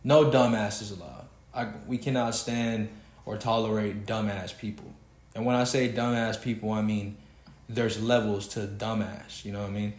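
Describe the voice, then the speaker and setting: A man's voice: fairly low pitch, steady tone, moderate pace. Preachy young Texan man in an echoey room. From Houston, Texas. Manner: preachy, emphatic, swearing freely. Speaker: podcast host. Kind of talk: casual podcast rant.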